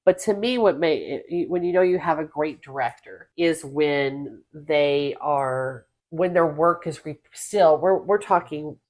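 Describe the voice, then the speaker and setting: Woman, low voice, casual podcast co-host, conversational discussion. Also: unhurried, few pauses, darkish tone.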